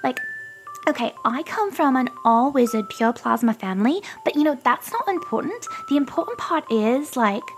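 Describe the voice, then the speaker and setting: A woman's voice: high voice, animated, normal speed, soft background music. A woman voicing a shallow rich young female wizard student in a comedy dating ad, giggly and ditzy, full of filler words. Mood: bubbly. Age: young adult. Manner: giggly, ditzy, filler-heavy valley-girl talk. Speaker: caller recording a dating ad.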